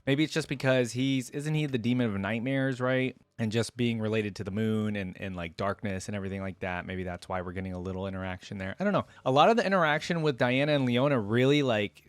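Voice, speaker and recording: A man's voice: medium pitch, quick pace, few pauses, clean tone, dry recording. A man giving casual, enthusiastic reaction commentary as a host, with slangy fan talk.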